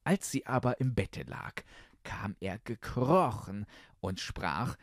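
Low-voiced man narrating a fairy tale. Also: sing-song, slow pace, dry recording, studio quality. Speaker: audiobook narrator.

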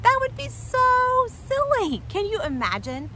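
A woman in her thirties hosting a read-aloud for preschoolers, with sing-song, exaggerated, animated enunciation. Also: very high-pitched, a little slow, slight background noise, poor audio. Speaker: storytime host for young children.

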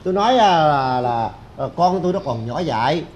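A flustered, hot-tempered middle-aged southern Vietnamese father-in-law played by a man in a stage comedy. He talks fast, stammering and repeating himself, on a noisy live recording. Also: fairly high pitch, expressive, a little mellow, close to an everyday voice. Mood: deferential. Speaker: comic stage actor. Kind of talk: broad stage comedy acting.

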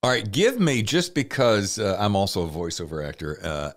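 Male interview host, fairly low voice, casual banter. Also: lively intonation, moderate pace. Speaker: podcast host, voiceover actor.

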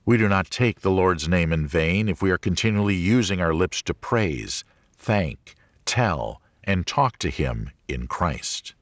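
Deep-voiced male audiobook narrator. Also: expressive, unhurried, no room sound, clean studio sound. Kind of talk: scripted audiobook narration of a religious teaching book.

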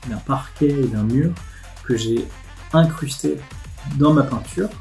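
A man's voice: medium pitch, takes it slowly, creaky, darkish tone. A man, explaining things in an informal, conversational way as a vlog host. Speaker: video host, animator. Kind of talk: casual explanatory vlog talk to camera. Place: indoors, living room with a desk. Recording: music playing behind the voice. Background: music with guitar.

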